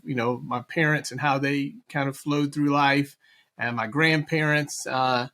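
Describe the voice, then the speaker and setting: A middle-aged man from eastern North Carolina, a business consultant speaking as an interview guest in a measured way, with frequent fillers. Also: fairly high pitch, fairly bright tone. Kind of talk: conversational interview.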